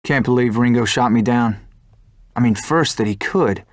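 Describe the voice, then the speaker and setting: An actor playing an earnest, brooding young fighter pilot in a video game, with reflective, measured delivery. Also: male voice, medium pitch, no echo, studio quality, natural voice. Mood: determined. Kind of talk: scripted cutscene narration and dialogue.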